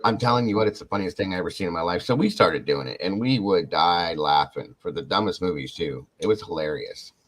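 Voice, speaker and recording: A man hosting a casual podcast, rambling and animated, talking to viewers in the chat. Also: sits low, brisk, few pauses. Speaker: podcast host.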